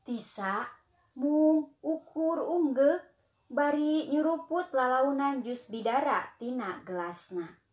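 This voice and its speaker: A young woman with a Sundanese accent, reading a children's story in a gentle, unhurried way. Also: high voice, lively intonation, echoey room. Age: young adult.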